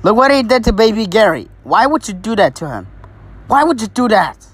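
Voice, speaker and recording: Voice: mid-range voice, very animated, brisk, slightly rough. A performer voicing an exasperated, scolding young cartoon character in casual speech.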